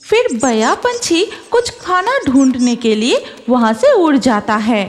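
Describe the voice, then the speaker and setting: Woman narrator, children's storytelling, high voice, animated melody, slow pace, background music.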